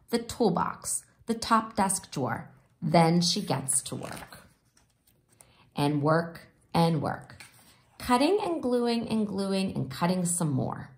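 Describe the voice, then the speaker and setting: A woman reading a children's picture book aloud expressively, sometimes pausing to explain the pictures. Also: lowish voice. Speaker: storybook reader.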